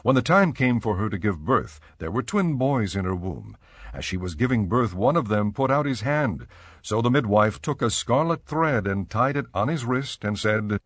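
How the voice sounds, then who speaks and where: A man reading scripted scripture narration in a measured, solemn storytelling manner. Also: fairly low pitch, dry recording, clean studio sound.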